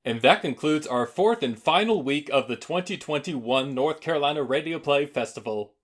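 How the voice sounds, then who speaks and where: A man's voice: on the high side, expressive, moderate pace. A man reading a scripted closing announcement as a programme announcer.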